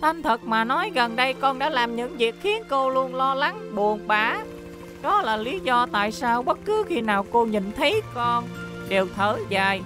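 A woman's voice: a little high, lively intonation, moderate pace, over music, natural voice. A woman voicing an earnest, eager young boy in a Vietnamese cartoon dub. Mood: apologetic, earnest. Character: earnest, eager young boy who loves his teacher.

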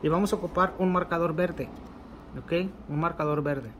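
Mexican man, slightly high voice, walks viewers through a ritual step by step, repetitive and unhurried, faint hiss behind. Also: steady tone, fairly bright tone. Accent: Mexican Spanish. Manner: unhurried and repetitive, ending phrases with a questioning "ok". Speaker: occult ritual instructor. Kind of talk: spoken tutorial demonstrating a ritual. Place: indoors at a table covered with candles and ritual objects.

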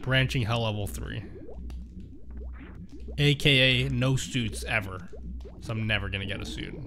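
A man's voice: fairly low pitch, measured pace, creaky, music in the background. A man streaming a game, chatting casually and joking with his viewers as he reads their messages aloud. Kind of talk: casual chat while playing a game.

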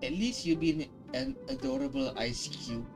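A woman with a very deep voice comments casually on a game she is playing. Her delivery is slow and halting, with music playing clearly behind her. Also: sing-song. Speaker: gaming video creator. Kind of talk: casual game playthrough commentary, reading and reacting.

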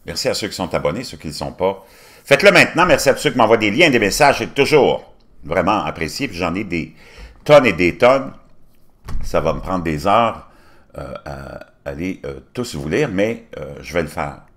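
A man in his fifties with a Québécois accent, reading news articles aloud and commenting on them, bursting into loud emphasis and then dropping to low muttered asides. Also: big pitch swings, moderate pace. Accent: Québécois French. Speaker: commentary channel host. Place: home studio with virtual backdrop.

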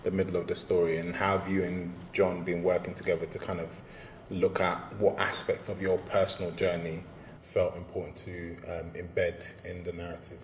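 A man hosting a panel, asking measured questions with occasional fillers in a rehearsal room. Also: low-pitched, flat delivery. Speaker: host, artistic director. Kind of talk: interview questions. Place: large rehearsal room indoors. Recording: roomy echo, faint ambience.

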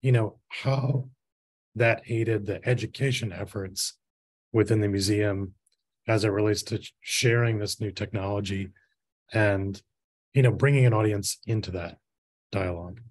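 A man in his forties moderating a discussion, speaking conversationally in halting, measured phrases. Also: on the low side, fairly even intonation. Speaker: panel moderator. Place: indoor room with bookshelves, on a video call.

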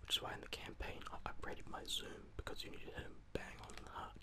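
A man speaks entirely in a hushed, breathy whisper, giving ASMR gameplay commentary. His talk is casual and peppered with swearing, like someone narrating his own play as he goes. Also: fast. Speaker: gaming video host.

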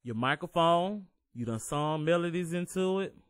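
Deep-voiced man, laid-back and profane. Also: expressive, moderate pace, no room sound, clean studio sound. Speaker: home recording tutorial host. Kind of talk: casual slang-filled tutorial voice-over.

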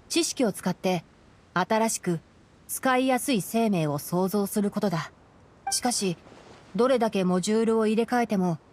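A woman playing a calm, studious young fox-eared male ranger in a Japanese video game, speaking in a measured, articulate, gently admonishing way. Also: mid-range voice, close to an everyday voice.

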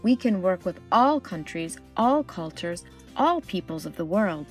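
A woman storyteller voicing an earnest, hopeful male scientist in a picture book, speaking in a measured, emphatic way. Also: lowish voice, very animated, soft background music. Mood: hopeful, determined.

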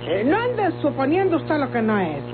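Nervous, animated Mexican country girl. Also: high-pitched, moderate pace. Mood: nervous, evasive. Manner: agitated, rustic speech. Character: nervous, secretive, spirited country daughter. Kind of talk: old Mexican radio melodrama acting. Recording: tinny old radio recording, loud music.

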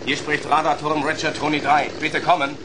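An anxious radar operator played by a man reports in formal, urgent phrases. His voice is fairly high and clear noise runs behind it. The delivery belongs to a children's radio play. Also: little pitch movement, normal speed, lightly acted.

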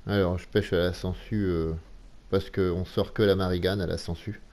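Deep, mellow man explaining slowly. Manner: halting, many fillers, relaxed explaining. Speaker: gameplay tutorial narrator. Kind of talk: casual game tutorial voice-over.

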